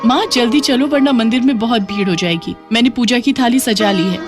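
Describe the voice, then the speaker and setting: A woman's voice: a little high, brisk, voice pushed up, natural voice. Woman voicing an earnest young daughter-in-law over music. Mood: eager, concerned. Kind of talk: animated moral story acting. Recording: music playing behind the voice.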